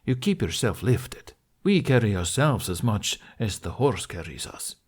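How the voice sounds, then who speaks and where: A man voicing a stern, assured horseman mentor in an audiobook, giving deliberate, clipped instructions in formal phrasing. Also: on the low side, big pitch swings, husky, full character voice. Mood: firm, explaining. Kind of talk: audiobook character acting.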